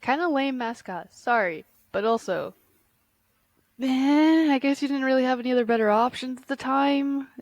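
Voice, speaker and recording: A woman's voice: high voice, normal speed, no room sound. A congested woman co-hosting a podcast, laid-back and jokey in casual chat. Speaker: podcast co-host. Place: recorded in person, dry close sound.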